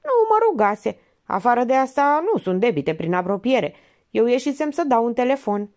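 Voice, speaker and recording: An easily offended male bit-part actor dodges questions with curt, defensive answers, as a woman reading aloud voices him. The voice sits high with lively swings in pitch.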